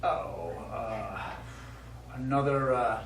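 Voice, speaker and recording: A man's voice: mid-range voice, animated, extremely slow, far from the mic. A man playing a weary, wealthy husband with a dry, hesitant manner in a live stage play. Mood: wryly indulgent. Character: wry, weary, wealthy husband in poor health. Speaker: stage actor. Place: small theatre studio, recorded from the audience. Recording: echoey stage recording from afar, faint noise.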